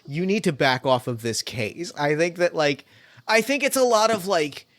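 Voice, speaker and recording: A man runs a solo tabletop mystery as game master, thinking aloud in loose, animated bursts with plenty of fillers. His voice sits on the higher side for a man. The sound is dry and close, with almost no room around it. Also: normal speed, no room sound. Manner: rambling, animated, lots of fillers and restarts.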